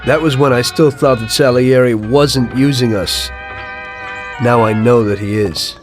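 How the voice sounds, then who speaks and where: An actor playing a wary, tough 1930s gangster in a video game, talking tersely and dryly with music behind him. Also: male voice, mid-range voice, steady tone, unhurried, close to an everyday voice. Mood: resolved.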